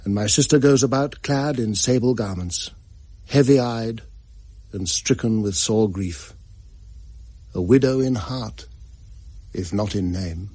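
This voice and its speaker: An actor playing a concerned, sensible gentleman in a period drama, with measured, formal, old-fashioned phrasing. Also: lowish voice, no echo. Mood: mournful. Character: concerned, sensible gentleman friend who narrates mournfully. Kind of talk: period radio drama, literary narration.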